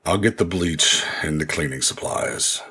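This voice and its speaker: A man's voice: extremely low pitch, expressive, a little slow, pushed and bright, husky, exaggerated voice. A man playing a troubled, grieving and determined male ally in a noir audio drama, speaking in a somber, earnest way. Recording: music behind the voice. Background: music.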